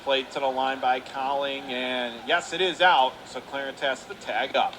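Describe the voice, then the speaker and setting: A man calling live hockey play-by-play on radio in clipped running phrases with excited calls. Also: mid-range voice, normal speed. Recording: noisy live rink recording.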